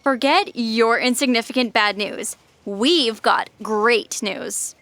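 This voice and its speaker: A woman's voice: a little high, slow pace, a bit bright, no echo. A woman voicing a gleefully callous, sarcastic self-destruct program in an audio drama, snide and flippant.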